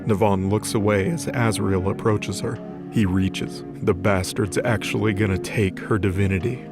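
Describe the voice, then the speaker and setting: A man's voice: fairly low pitch, steady tone, moderate pace. A man narrating a scripted dramatic fantasy story as its storyteller. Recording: music behind the voice. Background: music.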